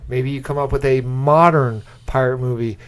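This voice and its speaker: A man's voice: medium pitch, fairly even intonation, normal speed, clean tone. A man co-hosting a podcast in casual chat, insistent and often restarting his sentences. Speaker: podcast co-host pitching movie ideas.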